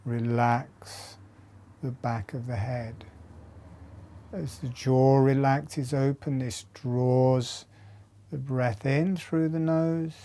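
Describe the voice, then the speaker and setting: Very slow, mellow man guiding meditation. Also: mid-range voice, steady tone. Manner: unhurried, measured, short phrases with long pauses. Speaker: meditation and breathwork instructor. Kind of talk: slow guided breathing meditation instruction.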